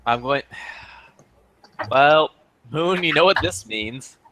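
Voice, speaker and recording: A man's voice: a little high, animated, slow, bright, forceful tone, low-quality recording. A man in casual group chat, telling stories and laughing often.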